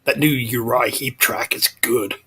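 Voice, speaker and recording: A man in his forties with a heavily raspy, husky voice joins a casual chat. His tone is bright and pushy as he eagerly talks up albums he loves. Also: mid-range voice, fairly even intonation, measured pace. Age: 40s.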